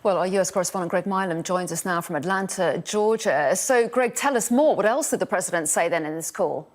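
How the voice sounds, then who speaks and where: A woman's voice: fairly low pitch, a little fast, a little hiss or hum. A woman working as a news presenter, reading scripted news and handing over to a correspondent.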